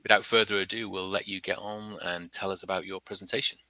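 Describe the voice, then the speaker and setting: A man hosting a webinar over a video call, introducing the guest in a friendly, slightly halting way with fillers. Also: low voice, flat delivery, sharp tone, no room sound. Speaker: webinar host.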